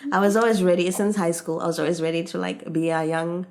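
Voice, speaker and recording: A woman's voice: lowish voice, fairly even intonation, fast talker, a little mellow. A woman from Africa, a podcast guest, talking in an earnest, reflective way with lots of "like" and "you know". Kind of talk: casual conversation.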